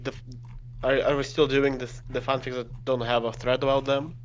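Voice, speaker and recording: A man's voice: medium pitch, little pitch movement, brisk, dull audio, slight background noise. A man on a group voice chat, talking hesitantly with frequent restarts. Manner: hesitant, stumbling.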